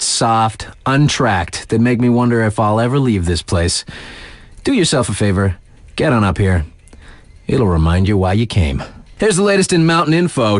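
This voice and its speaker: A man's voice: medium pitch, no room sound, close to an everyday voice. An actor playing a laid-back, wry male radio DJ in a video game, talking in casual slang to hype up his listeners. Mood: stoked, laid-back, then upbeat, informative.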